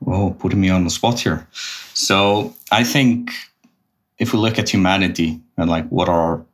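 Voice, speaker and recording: A man with a very low, deep voice answers questions as a podcast guest. He talks in a halting way, restarting sentences as he works out his thoughts. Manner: hesitant, restarts often, thinks aloud. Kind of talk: casual business interview.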